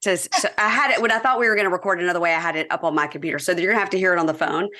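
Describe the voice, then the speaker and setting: A Southern woman from Mississippi hosts a casual podcast chat in a bright, forceful voice. Her tone sits a little low for a woman. She is chatty and affirming and laughs easily with her guest. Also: very fast. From Mississippi, USA. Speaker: podcast host.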